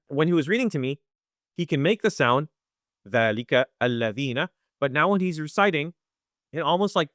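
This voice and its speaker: A man teaching Quran recitation in a webinar, talking conversationally, often checking in with "right?" and sounding out recitation slowly. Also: a little high, sharp tone, no room sound, clean studio sound. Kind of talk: live webinar lesson.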